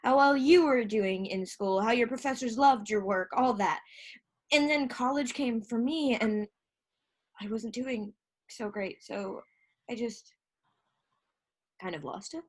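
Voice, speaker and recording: High-voiced, hurt younger sister, acted by a woman. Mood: subdued, hurt. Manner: halting, emotional, profane. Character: hurt, insecure younger sister fresh out of rehab. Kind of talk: stage play acting. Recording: live stage recording.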